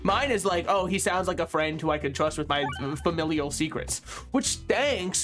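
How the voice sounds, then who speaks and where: A man's voice: sits high, fairly bright tone. A young man from the United States streaming a video game, rambling and joking at his own expense as he plays. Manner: joking, rambling, self-mocking. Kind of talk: casual gameplay commentary.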